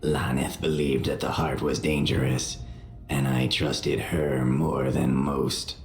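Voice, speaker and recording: An actor playing a wary, loyal warrior companion in a video game, speaking gravely and bluntly. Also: male voice, extremely low pitch, level tone, slow pace, roomy sound, exaggerated voice.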